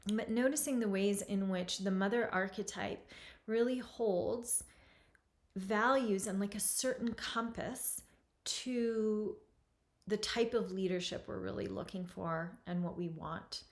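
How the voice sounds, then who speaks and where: A woman in her forties from Lebanon, hosting and introducing an event in a slow, reflective, soft-spoken way. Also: medium pitch, fairly even intonation. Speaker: host.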